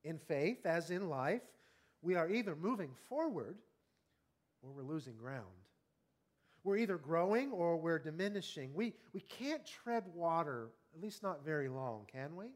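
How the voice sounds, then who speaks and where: A man in his fifties preaching an evening sermon, earnest and conversational, often asking the congregation questions and slowing down on key words. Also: a little high, big pitch swings. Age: 50s. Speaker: pastor.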